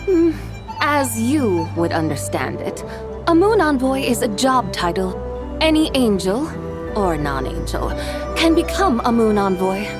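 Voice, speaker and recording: Composed, formal ancient angel. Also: female voice, fairly high pitch, sing-song, a little slow. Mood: composed, reflective. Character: composed ancient female angel, former moon envoy, dignified. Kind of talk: video game voice acting. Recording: music playing behind the voice.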